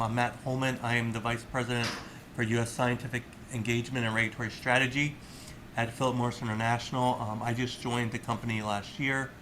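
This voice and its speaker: A middle-aged man giving a webinar presentation as a company executive, pausing often with ums and clearing his throat. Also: mid-range voice, fairly bright tone, a little hiss or hum, rough recording.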